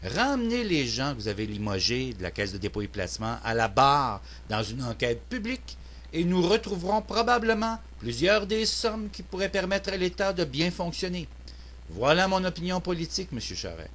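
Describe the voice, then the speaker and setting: A man's voice: on the high side, sing-song, moderate pace, a bit bright. A man with a Québécois French accent delivering a prepared political opinion monologue in a formal, earnest, declamatory manner. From Quebec, Canada. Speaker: citizen political commentator.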